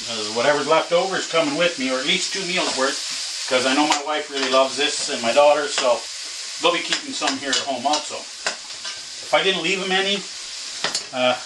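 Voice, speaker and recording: A middle-aged man chats casually as he cooks, tossing off jokes and playful back-and-forth. He is picked up by a camera across a small, echoey home kitchen, with sizzling pans and stirring clearly audible behind him. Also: fairly high pitch, moderate pace. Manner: joking, offhand, bickers playfully. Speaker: trucker vlogger cooking at home. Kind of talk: casual cooking demo. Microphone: camera across the room. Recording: echoey kitchen with cooking noise.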